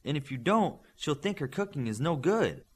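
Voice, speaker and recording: Male reader as young Japanese heroine, bossy, assertive, brisk, very lively pitch, storybook. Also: breathy edge. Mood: scolding, insistent. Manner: assertive, instructing.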